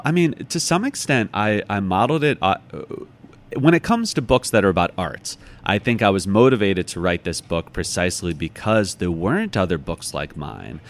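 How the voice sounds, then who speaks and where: An American man talking casually as an interview guest, telling stories in an animated, reflective way and correcting himself as he goes. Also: sits low. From United States, from Chicago. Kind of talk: casual conversational storytelling.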